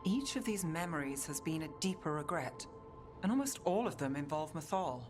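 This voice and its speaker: An actress playing a shrewd, cynical fantasy adventurer in a video game, with a dry, wry way of talking. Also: female voice, low-pitched, expressive, moderate pace. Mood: wary. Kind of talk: dramatic video game dialogue. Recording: music behind the voice.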